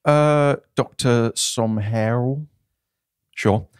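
A man's voice: medium pitch, very slow, smooth, no echo. A man in his twenties with a southern English accent, bantering casually as a podcast co-host, close on the mic. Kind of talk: casual chat, reading listener comments. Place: small podcast studio. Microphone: close microphone on boom arm.

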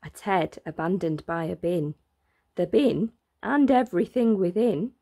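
A woman reading a rhyming children's picture book aloud in an expressive, deliberate way, drawing out words for emphasis. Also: on the low side. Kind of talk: expressive read-aloud of a rhyming bedtime story.

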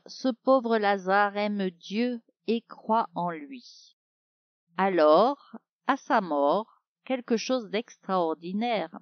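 A woman's voice: medium pitch, no room sound, lo-fi sound. A woman narrating a Bible story for children, speaking expressively and directly to the young listener.

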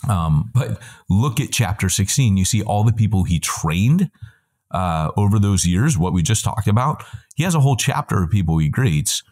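Middle-aged guy on a video call from home, really low voice, animated, keeps throwing in asides and fixing himself mid sentence. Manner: animated, with quick asides and self-corrections. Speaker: guest, church planting trainer. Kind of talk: long conversational answer. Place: indoor room at home. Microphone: wired earbuds on a video call.

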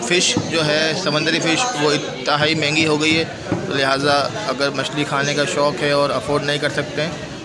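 Pakistani man touring a loud fish market. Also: fairly high pitch, completely flat delivery, moderate pace. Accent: Pakistani Urdu. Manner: explains each fish, then calls out to sellers for prices. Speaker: market vlogger. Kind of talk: walk-through market vlog. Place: busy indoor fish market. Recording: loud crowd noise behind. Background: loud market crowd.

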